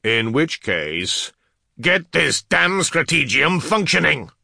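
Man as a forceful, stern ship's commander. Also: on the low side, animated, slow, dry recording, clean studio sound. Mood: urgent, commanding. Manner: clipped, commanding. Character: stern male ship's officer taking command.

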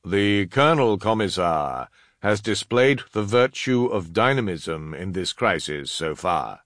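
Male reader as stern naval officer, sci-fi audiobook. Commanding, clipped, bright, dry sound. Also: lowish voice, expressive, a little slow, no room sound. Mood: heavy, subdued.

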